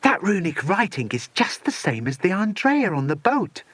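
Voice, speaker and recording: Man voicing an eager, high-pitched young girl. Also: sing-song, moderate pace, fairly bright tone, no echo, heavily acted. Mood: excited, eager. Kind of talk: children's audiobook character voice.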